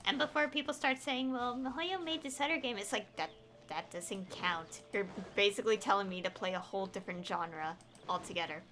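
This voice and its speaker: A woman's voice: medium pitch, fairly quick, background noise. A woman game streamer chatting casually and rambling, with an exasperated, opinionated, pleading emphasis. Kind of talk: casual rambling livestream chat.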